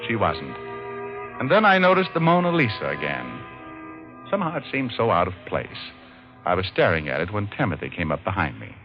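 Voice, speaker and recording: Cynical radio detective, lowish voice, dry wisecracks, muffled old recording w music. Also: male voice, huge pitch swings, a little fast, heavily acted. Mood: deadpan narration. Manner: dry, sardonic. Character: wisecracking male private detective, cynical, dryly amused. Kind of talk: old-time radio noir acting and narration.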